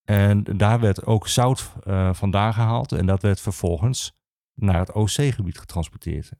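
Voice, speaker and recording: A man explaining things as a guest in an interview, in a halting manner with many pauses. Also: lowish voice, dark tone, dry recording, studio quality. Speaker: regional archivist, podcast guest.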